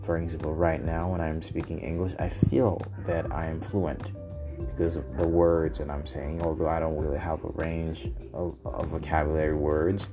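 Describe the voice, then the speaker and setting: Male podcast host, Haitian accent, very deep and monotone, hesitant unscripted delivery with repeated phrases, over background music. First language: Haitian Creole. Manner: halting, searching for words, repeating phrases. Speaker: podcast host and language learner. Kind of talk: unscripted solo podcast talk.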